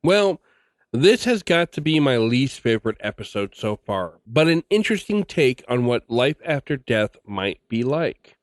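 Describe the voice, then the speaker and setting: Animated male podcast host, swooping pitch. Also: a little slow, no echo. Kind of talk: scripted podcast outro narration.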